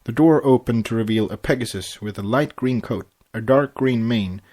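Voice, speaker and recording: A man's voice: mid-range voice, moderate pace, darkish tone. A man co-hosting a podcast, chatting casually and reading fan fiction aloud. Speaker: podcast co-host and story reader.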